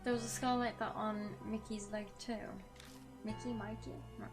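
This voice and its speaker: A woman's voice: medium pitch, little pitch movement, moderate pace. A woman game streamer reading a visual novel aloud, breaking off for casual commentary. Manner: casual reactions between read-out lines. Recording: music behind the voice. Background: game music.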